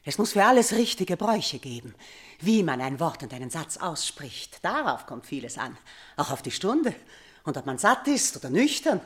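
An actress playing a tormented, superstitious middle-aged queen in a radio play, declaiming theatrically and swinging between hushed dread and outcry. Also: low voice, sing-song, normal speed. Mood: intense, secretive. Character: tormented ageing mother and queen, haunted by nightmares, superstitious, imperious.

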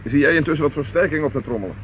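A man's voice: fairly high pitch, racing, lightly acted. Man acting a businesslike inspector in an old radio drama, commanding, thin hissy sound. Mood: brisk, businesslike. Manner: businesslike, courteous but commanding. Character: brisk, authoritative male police inspector. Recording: tinny, noisy old radio recording.

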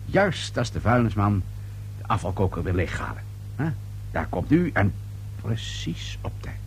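A man's voice: lowish voice, very animated, unhurried, vocal fry, full character voice. An actor playing a shrewd, sardonic male police inspector in a radio play, probing in short dry phrases. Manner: probing, dry, clipped, repeats answers pointedly. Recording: old radio play recording with faint hum.

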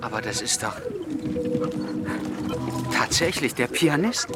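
An actor playing an earnest, easily flustered official investigator in a satirical radio play, officious and indignant in manner. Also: male voice, medium pitch, expressive, slow pace, over loud music. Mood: bewildered. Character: earnest, flustered male flight authority investigator.

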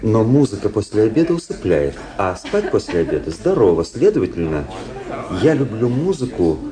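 An actor playing a cool, world-weary young aristocratic officer in a Russian radio drama, dry, polished and ironic. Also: male voice, fairly low pitch, sing-song, moderate pace, crowd noise. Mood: mocking, ironic. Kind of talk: Russian literary radio drama acting and narration.

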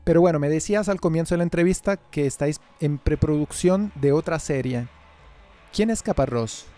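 A man's voice: on the high side, normal speed, warm tone. A man with a Spanish accent from Spain, interviewing conversationally as a radio host.

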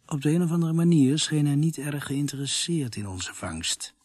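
A man's voice: fairly high pitch, animated, moderate pace, background noise, natural voice. An actor playing a wry, tired policeman narrating his own story in a radio drama. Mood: wry, weary.

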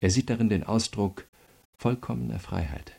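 Radio crime drama prosecutor: low, creaky, subdued timbre, calm but insistent interrogator. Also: male voice, exaggerated voice. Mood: measured, explaining. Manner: measured, insistent, pointed questioning. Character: calm, probing male state prosecutor interrogating a prisoner.

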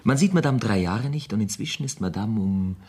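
An actor playing a suave young gentleman in a radio play, paying gallant, flattering compliments. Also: fairly low pitch, fairly quick, breathy edge. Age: young adult. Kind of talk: 1970s radio play acting.

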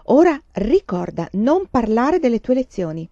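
A woman reads a strict storybook mother who scolds and lectures in a raised, clipped, impatient voice. The tone underneath is mellow and warm. It is recorded dry and a little dull. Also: fairly high pitch, very animated, a little slow, dry recording, pitched above the performer's own voice, heavily acted. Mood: admonishing. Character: stern scolding middle-aged mother. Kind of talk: audiobook character reading.

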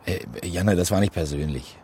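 Extremely deep voice, actor as male investigator, weary, brooding, terse phrases, radio crime drama. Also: moderate pace, dark tone, a little hiss or hum, close to an everyday voice. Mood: subdued.

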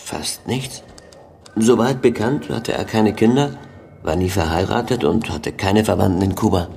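A lowish, gravelly male police sergeant in a radio drama. He is the detective's sidekick, plain and matter-of-fact. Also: moderate pace, darkish tone, slight background noise.

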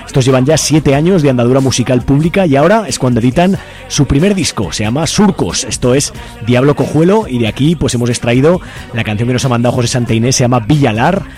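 A man's voice: mid-range voice, animated, fast, a little mellow, soft background music. A man from Spain with a Spanish accent presenting a radio show in a casual, conversational way.

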